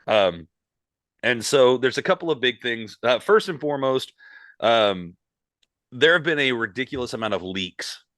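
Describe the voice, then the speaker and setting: A man's voice: medium pitch, lively intonation, moderate pace, a bit bright, no room sound. A man co-hosting a casual podcast, talking in a loose, rambling way with lots of fillers, still getting over an illness. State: recovering from illness. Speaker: podcast co-host.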